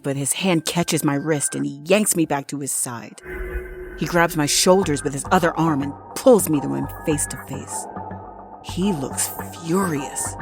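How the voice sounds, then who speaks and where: A woman playing a guarded, scheming nurse who narrates her revenge tale in an intimate, suspenseful audio drama style. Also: low voice. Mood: tense, suspenseful. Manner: intimate, suspenseful, acted delivery. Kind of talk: dramatic first-person audio fiction narration. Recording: dramatic music behind the voice.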